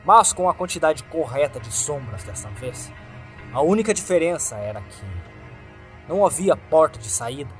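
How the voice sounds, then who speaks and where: A man with a Brazilian accent reading a scripted first-person horror story as its narrator. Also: a little high.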